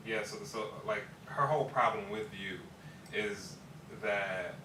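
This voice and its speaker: A man informally explaining something to a small group, haltingly, as if thinking aloud. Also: fairly low pitch. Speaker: writer and filmmaker. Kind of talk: casual explanation in a group discussion. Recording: distant mic, echoey room, background noise.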